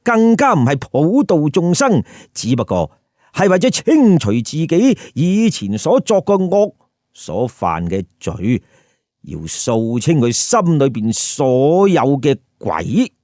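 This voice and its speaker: Male storyteller host, Hong Kong Cantonese accent, very lively swooping intonation, unhurried, colloquial, emphatic, dry close sound. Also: fairly high pitch, dry recording. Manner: colloquial, emphatic, rhetorical questions. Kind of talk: comic storytelling with commentary.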